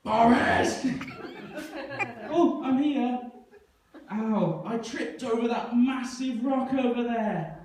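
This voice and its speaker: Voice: mid-range voice, slow, pauses often, darkish tone, natural voice. A performer voicing a cheeky, boastful child puppet boy with an exaggerated, bragging delivery in a children's puppet show. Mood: whiny. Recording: echoey room, distant mic, faint noise.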